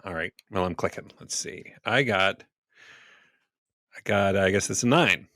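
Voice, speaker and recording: A man's voice: low voice, normal speed, pushed and bright. A man playing a tabletop roleplaying game, casually chatting and saying what his character does. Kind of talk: casual chat, narrating his character's actions.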